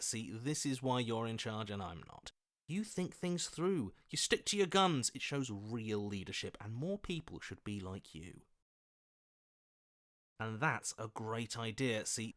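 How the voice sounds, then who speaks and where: A man voicing a scheming, flattering, self-serving opportunist in a video game, with a smarmy, wheedling, theatrical delivery. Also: mid-range voice. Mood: fawning. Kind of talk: video game character voice lines.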